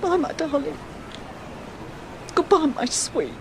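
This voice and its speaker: An anxious middle-aged English mother on the run, fairly high in pitch. She speaks in an urgent, protective, hesitant way, as in a radio drama, over faint background noise. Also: lively intonation, measured pace, vocal fry, heavily acted. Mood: urgent, grieving. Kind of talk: audio drama acting.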